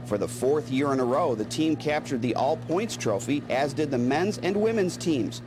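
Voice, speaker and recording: A man's voice: on the high side, lively intonation, moderate pace, music in the background. A man reading scripted newsreel narration as the narrator.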